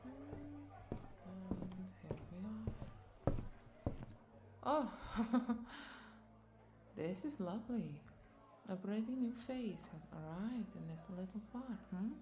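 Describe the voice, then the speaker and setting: A woman's voice: mid-range voice, animated, very slow, long pauses, background noise. A woman playing a flirty, doting vampire bartender in a roleplay audio drama, coaxing and affectionate as she speaks to the listener. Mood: sweet, welcoming. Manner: coaxing, affectionate, lingering over words with soft chuckles.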